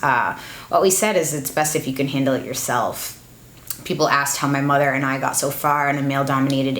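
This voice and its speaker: A young adult woman giving a blunt, monotone life update to her camera at home, with fillers along the way. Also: low-pitched, echoey room. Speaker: beauty YouTuber. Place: room at home, echoey. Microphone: camera with ring light.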